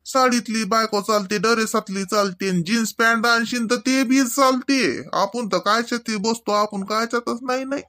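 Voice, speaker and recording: A man's voice: extremely high pitch, normal speed, few pauses, bright, forceful tone. A man playing a playful older village husband and father in a comedy cartoon, with a Varhadi Marathi accent and a folksy, rambling, mock-complaining way of talking. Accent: Varhadi Marathi from Vidarbha.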